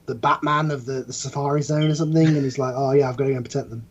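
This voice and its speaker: A man's voice: medium pitch, fairly even intonation, very fast, muffled recording. A man on a podcast panel joking casually with a sarcastic, incredulous manner.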